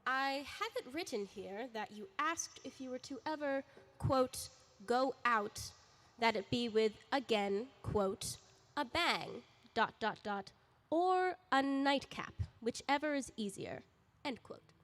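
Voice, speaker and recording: A woman playing Death in a radio drama as a formal, deadpan and theatrical reaper with dry wit. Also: sits high, slow, exaggerated voice. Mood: deadpan, ominous.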